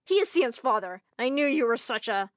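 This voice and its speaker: A woman voicing an angry, abusive young man who speaks loudly and indignantly. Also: on the high side, big pitch swings, moderate pace, no echo, clean studio sound. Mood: furious. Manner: indignant and loud.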